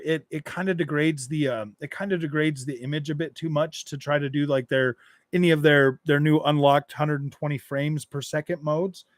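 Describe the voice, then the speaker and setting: A man in a casual gaming live stream, talking in a fairly high voice and wandering through his thoughts with lots of restarts. The sound is dry and close, with no room around it. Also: no echo. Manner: rambling, repeats and restarts phrases, laid-back.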